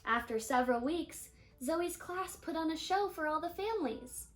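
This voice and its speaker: A woman reading a children's picture book aloud in an expressive storytelling manner, stretching key words. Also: extremely high pitch, normal speed, a little hiss or hum. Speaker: storybook reader.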